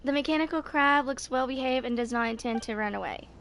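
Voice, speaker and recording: A woman in her twenties with a fairly high voice, streaming a game. She chats casually and reads text aloud haltingly, tripping on words, with some noise in the recording. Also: fairly bright tone. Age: 20s. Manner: halting, stumbles over words when reading.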